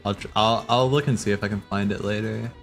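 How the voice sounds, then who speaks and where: Low-voiced guy rambling casually on stream. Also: steady tone, fast talker. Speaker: livestream host. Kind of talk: casual livestream commentary on game cutscenes. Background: game soundtrack music.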